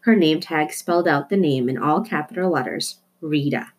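A woman reading a children's novel aloud with expressive, playful emphasis. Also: low voice, moderate pace, slight background noise. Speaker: reader.

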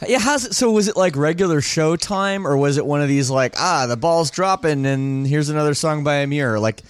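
A man's voice: a little high, animated, a little fast, clean tone, dry recording. A man hosting a casual podcast, upbeat and rambling, often restarting his sentences. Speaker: podcast host.

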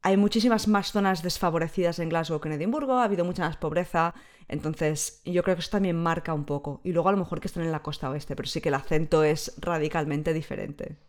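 A woman's voice: low voice, quick pace. Spanish-speaking woman explaining at length. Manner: fluent, explanatory, talks in long runs. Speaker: podcast guest. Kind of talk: casual conversation.

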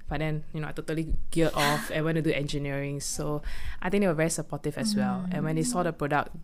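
Chatty young woman, low-pitched. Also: quick pace, crowd noise. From Myanmar, raised in Singapore. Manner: chatty, relaxed, many fillers like "like" and "you know". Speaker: guest, co-founder of a shoe brand. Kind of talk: casual podcast interview.

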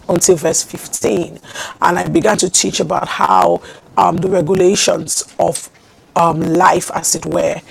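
A woman preaching a teaching sermon in a measured way, with frequent ums and repeated phrases. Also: fairly low pitch, gravelly, husky, poor audio. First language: not Hebrew.

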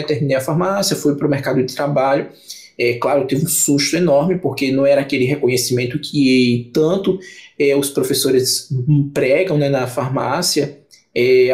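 A man's voice: mid-range voice, normal speed, darkish tone. A young man, chatting casually as a podcast guest, rambling with many restarts and fillers. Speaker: book channel creator, podcast guest.

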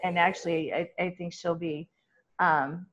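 A talkative woman with a loud voice, chatting as an interview guest and laughing often as she talks. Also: lowish voice, fairly even intonation, unhurried, highs cut off. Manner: chatty and laughing, with many restarts. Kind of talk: casual conversational interview.

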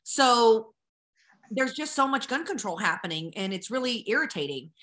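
A forceful, bright-voiced American woman pitched on the high side, chatting as a podcast guest. She speaks bluntly and casually, with slang and swearing. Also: sing-song, normal speed. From Colorado, United States. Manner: brash, slangy straight talk with swearing. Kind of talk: casual, opinionated interview chat.

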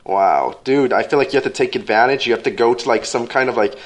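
A man's voice: mid-range voice, fast talker. A young man in casual conversation, prodding with questions and filling his talk with "like" and "you know". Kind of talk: casual chat.

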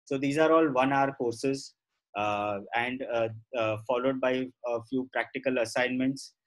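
Middle-aged Indian man, halting explainer. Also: medium pitch, steady tone, low-quality recording. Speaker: guest, professional photographer. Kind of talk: conversational explanation in an interview.

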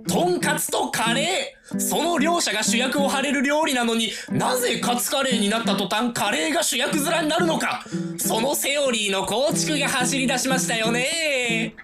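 A woman voicing a pedantic, excitable talking celery in comic radio drama, speaking in a formal, theatrical way. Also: high-pitched, normal speed, over loud music, lightly acted. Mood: pedantic, musing. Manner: pedantic, formal, stuttering flourishes. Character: fussy, theory-obsessed talking celery, quirky and excitable.

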